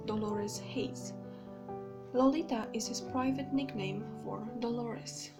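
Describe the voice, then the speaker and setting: Soft-spoken woman. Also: fairly high pitch. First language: Romanian. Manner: soft, often half-whispered delivery. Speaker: book vlogger. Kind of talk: casual book collection vlog with read-out summaries. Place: home room lit by natural light. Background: background music.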